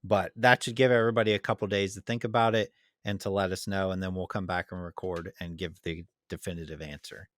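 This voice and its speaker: A man with a slightly low voice chats casually as a podcast co-host. He comes across as agreeable, in a close, dry recording with very little room sound. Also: fairly even intonation, a little fast, dry recording. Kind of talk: casual chat.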